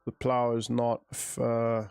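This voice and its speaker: A man's voice: medium pitch, completely flat delivery, takes it slowly, gravelly, darkish tone, clear, resonant tone, dry recording, clean studio sound. A man from South Africa with an accent that mixes South African and British, chatting casually while streaming a game, thinking aloud and answering chat. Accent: mixed South African and British. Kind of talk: casual live gameplay commentary.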